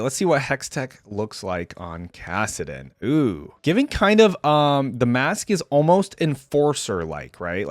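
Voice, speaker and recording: A man's voice: fairly low pitch, no echo, studio quality. A man hosting a reaction video, commenting casually and off the cuff in a conversational way, thinking aloud as he goes.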